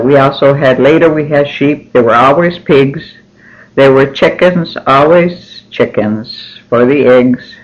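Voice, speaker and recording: An older man whose first language is Finnish, recalling his childhood slowly in an oral history interview, with many pauses and restarts. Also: mid-range voice. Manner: slow, halting, many ums and restarts. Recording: dull lo-fi recording.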